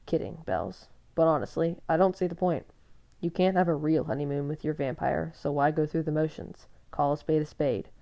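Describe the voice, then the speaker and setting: A woman voicing a hotheaded young werewolf man who is blunt, mocking and quick to rage in a storybook reading. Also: sits low, creaky, warm tone. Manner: blunt, mocking, then furious.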